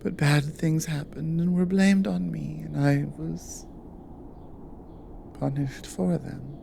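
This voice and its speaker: Low, slow-speaking lonely cougar guardian played by a woman. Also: steady tone, dark tone, a little hiss or hum, exaggerated voice. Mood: wounded recognition. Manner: slow, halting, breathy murmurs dropping into whispers. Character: exiled female cougar guardian, lonely, wary, bold, flirtatious. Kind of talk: intimate ASMR roleplay audio drama.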